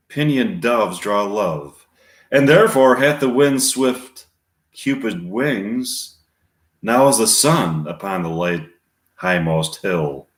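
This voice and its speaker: A man reading an impatient lovesick young girl, echoey room. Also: mid-range voice. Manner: halting, earnest verse reading. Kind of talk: amateur Shakespeare reading.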